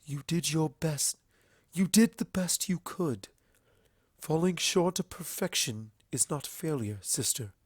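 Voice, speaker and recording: A man voicing a regal dark mare princess in a storybook reading, solemn and earnest, with formal, measured speech. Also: a little high, animated, husky, no echo, clean studio sound, voice pushed up, heavily acted. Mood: gentle comfort.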